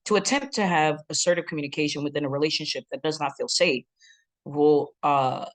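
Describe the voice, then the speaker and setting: Deep booming voice teaching communication. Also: a little fast. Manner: lively, breaks into acted quotes and laughs. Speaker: relationship educator. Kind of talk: instructional talk to camera.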